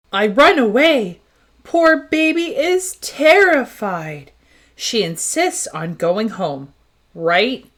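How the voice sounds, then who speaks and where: A woman's voice: mid-range voice, a bit bright. A woman voicing an eager, naive young puppy with a childlike, wide-eyed manner in a storybook read-aloud. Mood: worried.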